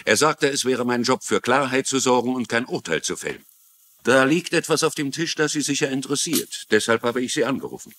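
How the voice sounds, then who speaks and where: An actor playing an older retired police lieutenant in a German-dubbed TV crime drama, confiding and wry, with a troubled conscience. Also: male voice, medium pitch, animated, brisk, a bit bright. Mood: uneasy, confiding.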